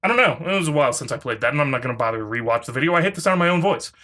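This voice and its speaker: A woman's voice: a bass voice, lively intonation, rapid-fire, fairly bright tone. A woman giving an exasperated, sarcastic, foul-mouthed off-the-cuff rebuttal as an online commentator.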